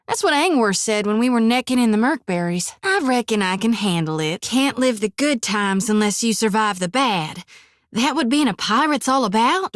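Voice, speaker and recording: A young woman playing a folksy, devout young priestess, rural drawl with "reckon" and "ain't", middling pitch, hushed whispers at times, dry booth sound. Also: no room sound, lightly acted. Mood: folksy, friendly. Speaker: companion character in a fantasy role-playing game. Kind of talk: video game voice acting.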